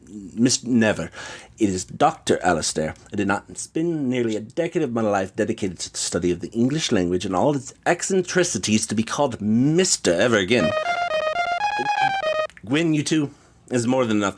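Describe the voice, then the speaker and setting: A man acts out a pompous male schoolteacher for an audio drama. His low, husky voice swings widely in pitch as he lectures with fussy, pedantic diction. He is self-important and easily irritated. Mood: indignant.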